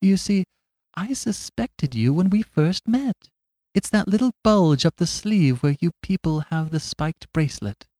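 Father Brown, a timid little priest voiced high by a man. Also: lively intonation, unhurried, mellow, dry recording, clean studio sound. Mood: mild. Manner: mild, apologetic, eager. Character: small, timid, vague country priest.

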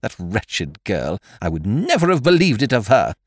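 A man's voice: fairly low pitch, huge pitch swings, moderate pace, dry recording, clean studio sound, exaggerated voice. A man voicing an agitated, anxious and indignant wealthy married woman, emphatic and distressed, in an audiobook.

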